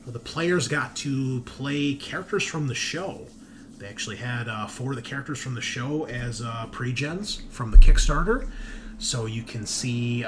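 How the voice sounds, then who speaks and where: A man hosting a tabletop gaming show, talking casually and informally in a hesitant, rambling, conversational way. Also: medium pitch. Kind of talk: casual chat, rambling announcements of upcoming episodes.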